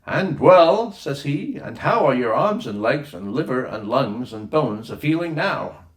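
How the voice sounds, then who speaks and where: Guy doing a jaunty old-story ship doctor, high and hammy, drawn out words, roomy sound. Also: animated, unhurried, recorded from a distance, lightly acted. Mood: jolly. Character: jaunty, jolly ship's surgeon fussing over patients. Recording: roomy echo on the voice.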